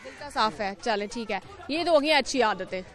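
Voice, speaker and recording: A young woman hosting a TV street quiz in a zoo park, talking into a handheld mic with a lively, coaxing manner. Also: a little high, fairly quick. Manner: lively, laughs often, coaxing. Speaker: TV street-interview host. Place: outdoors in a city zoo park. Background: light music and crowd chatter.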